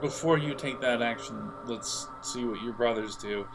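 A man narrating an audio role-playing game as game master, halting as he thinks aloud. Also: mid-range voice, vocal fry, music in the background, rough recording.

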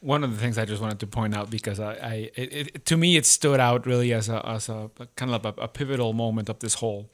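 A man in a casual, reflective discussion, speaking haltingly and repeating words as he works out his thoughts. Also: fairly low pitch. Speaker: theatre company podcast speaker.

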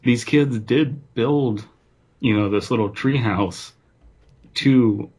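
A man's voice: medium pitch, slow, darkish tone, slightly rough. A man co-hosting a podcast in casual chat, hesitant at times and drily teasing. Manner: dry teasing, hesitant starts. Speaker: podcast co-host.

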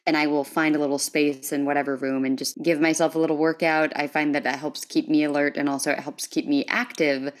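A woman's voice: sits low, level tone, brisk, few pauses. A woman hosting a podcast, speaking casually and chattily in a conversational interview. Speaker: podcast host.

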